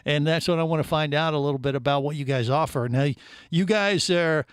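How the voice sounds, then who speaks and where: A man's voice: a little high, brisk, clear, resonant tone, dry recording. A man hosting a casual radio interview in a studio, rambling with many fillers and restarts. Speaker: radio show host.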